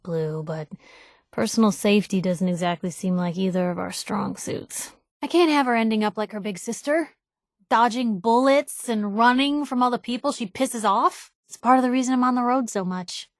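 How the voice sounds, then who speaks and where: A woman's voice: medium pitch, normal speed, a little mellow, dry recording, clean studio sound, lightly acted. A woman playing a feisty, guarded young reporter, wry and loyal, in naturalistic video game dialogue with a sardonic, emphatic manner. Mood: earnest. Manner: sardonic, emphatic, hesitant when vulnerable. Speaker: video game companion character.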